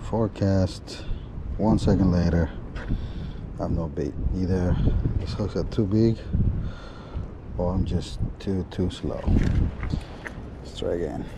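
Male fishing vlogger, lower-pitched, informal and slangy running commentary, outdoor action-camera audio with heavy wind noise. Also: takes it slowly, pauses often. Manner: informal, slangy, addressing viewers as guys. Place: outdoors on an ocean fishing pier. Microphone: body-worn action camera. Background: loud wind and sea ambience.

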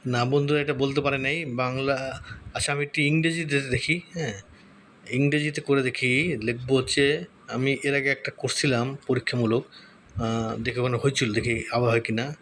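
A man talks through a tutorial in a mid-pitched voice. He explains informally, often thinking aloud in a murmur, with some background noise behind him. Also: steady tone, moderate pace, fairly bright tone. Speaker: tutorial host. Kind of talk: casual screen-recorded tutorial, thinking aloud.

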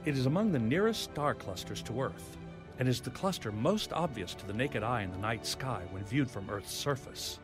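A dry, knowledgeable crewman aboard a game starship, played by a man. His mid-pitched male voice is formal yet moves a lot, with music clearly underneath. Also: lively intonation, moderate pace, lightly acted. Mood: dry, informative. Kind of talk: scripted game voice acting. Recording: music behind the voice.

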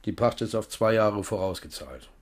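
A man's voice: lowish voice, normal speed, close to an everyday voice. A man playing an evasive farmer tenant. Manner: smooth, evasive, businesslike. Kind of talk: 1970s radio play acting.

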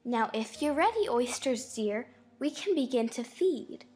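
A woman's voice: high voice, expressive, a little slow, a little mellow, slightly rough, soft background music, heavily acted. A woman playing an agreeable storybook twin brother who recites nursery verse. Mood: storytelling. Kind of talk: dramatized audiobook acting.

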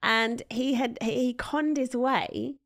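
A woman's voice: a little high, steady tone, takes it slowly, a bit bright. A woman, co-hosting a casual podcast chat in a small studio, talking into a studio mic and often laughing as she explains. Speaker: podcast co-host. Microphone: studio mic with headphones.

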